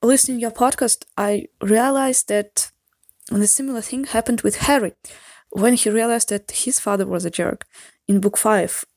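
A woman's voice: on the high side, moderate pace, darkish tone, dry recording. A woman from Russia with a Russian accent, a native Russian speaker, leaving a careful, earnest voicemail in English. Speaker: voicemail caller. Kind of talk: recorded voicemail message.

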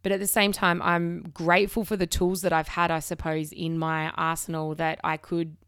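A woman's voice: lowish voice, flat delivery, moderate pace, a bit bright, clean tone, dry recording, clean studio sound. A woman in her thirties hosting her own podcast, talking frankly and openly with casual swearing and frequent restarts, while she has a slight cold. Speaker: podcast host. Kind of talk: candid solo podcast chat.

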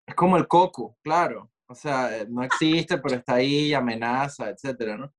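A Venezuelan man talks through his thoughts in an unhurried, meandering way, stopping on fillers and side remarks. He sounds like an interview guest at mid pitch, relaxed and conversational. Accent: Venezuelan Spanish. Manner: reflective, digressive, with many fillers and asides.

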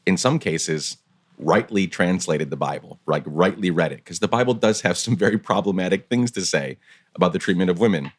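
A very deep-voiced man hosts a heartfelt conversation and responds to his guest. He speaks reflectively and with empathy, repeating key words for emphasis. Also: brisk. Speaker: podcast host. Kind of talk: conversational interview.

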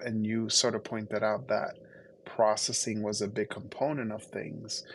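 A man's voice: on the low side, vocal fry, a little hiss or hum, low-quality recording. A man from the Dominican Republic whose first language is Spanish, telling his story reflectively as a podcast guest, with frequent fillers and restarts. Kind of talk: conversational interview storytelling.

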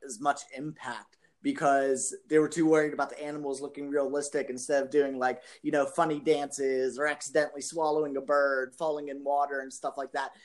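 A man chatting casually as a podcast panelist, explaining things in an informal way. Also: on the high side. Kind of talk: casual chat.

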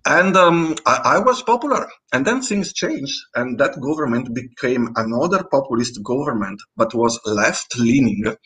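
An Italian man speaks English with a clear Italian accent, his voice sitting at an ordinary middle pitch. He chats casually as a guest artist. He talks hesitantly, pausing on fillers and restarting his sentences as he goes. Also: sharp tone, poor audio. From Italy. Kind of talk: casual interview chat.

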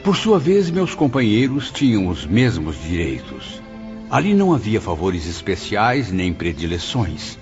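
An actor playing a solemn male spirit who narrates his memoirs in a radio drama, with expressive delivery. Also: lowish voice, a little slow. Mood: solemn reminiscing. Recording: dull radio drama recording, faint music.

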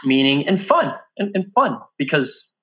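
A middle-aged man from Brazil, a guest on a casual podcast, speaking in an earnest, deliberate way about his music blog. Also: on the high side, big pitch swings, a bit bright. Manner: earnest, deliberate, acts out quotes in a raised voice.

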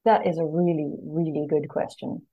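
A woman's voice: low-pitched, level tone, moderate pace, narrow, tinny audio. A woman speaking as an interview guest in casual conversation, tentative and thinking aloud. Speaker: podcast guest, literary translator.

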